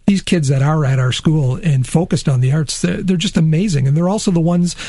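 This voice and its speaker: A middle-aged man with a slightly raspy voice, an interview guest from a school, talking with many hesitations and restarts. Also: fairly high pitch, a little fast, warm tone, dry recording, studio quality. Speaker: teacher at an arts-focused high school.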